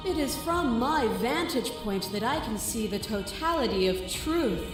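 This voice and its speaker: A woman's voice: a little high, lively intonation, measured pace. A woman playing a serene, imperious cosmic goddess in a visual novel, speaking with solemn grandeur and absolute conviction. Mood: serene certainty. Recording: long echoing reverb, music behind.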